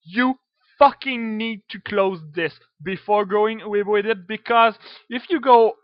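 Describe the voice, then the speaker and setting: A man's voice: sits high, unhurried, no echo. A man with a French accent, a native French speaker, giving a casual screen-recorded modding tutorial, hesitant with many fillers and casual swearing. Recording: dull dry lo-fi computer mic.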